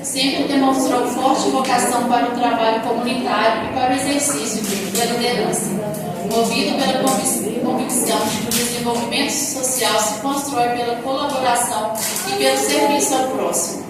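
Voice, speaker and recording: A woman reading a prepared biography aloud at a formal session, steady with small stumbles on words. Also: fairly high pitch, normal speed. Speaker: reader of honorees' biographies. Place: council chamber during a formal session. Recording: echoey hall from far off, loud noise.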